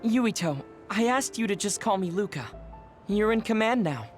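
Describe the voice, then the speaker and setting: Polite, youthful high-ranking officer. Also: male voice, animated, moderate pace, soft background music. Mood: courteous. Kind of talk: video game cutscene voice acting.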